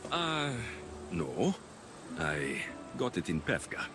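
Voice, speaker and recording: A man's voice: low-pitched, wildly swooping pitch, slow pace, background noise, heavily acted. An actor playing a battle-hardened Greek mercenary who is a doting, playful young father in a video game drama. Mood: tender, wry. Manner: playful, sing-song when talking to his baby.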